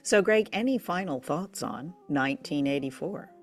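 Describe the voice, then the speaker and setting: A woman's voice: deep voice, lively intonation, normal speed, soft background music. A woman co-hosting a radio show and podcast, talking in a casual, conversational way.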